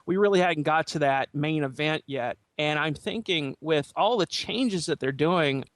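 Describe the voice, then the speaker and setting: A man's voice: on the high side, measured pace, no room sound. A man chatting in a colloquial, rambling way as a panelist on a gaming podcast. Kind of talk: casual gaming panel discussion.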